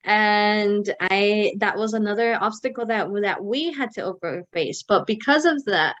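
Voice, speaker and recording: A woman's voice: mid-range voice, unhurried, fairly bright tone. Young woman, casual interview guest, rambling, Spanish words mixed in, slightly muffled audio. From United States. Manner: rambling, many fillers and restarts, mixing in Spanish words. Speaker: guest, company co-founder. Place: Mexico City.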